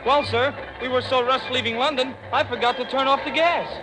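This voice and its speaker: Eager young valet, very high voice, deferential, vintage radio drama with music behind. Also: male voice, steady tone, brisk, low-quality recording. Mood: sheepish. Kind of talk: classic radio play acting.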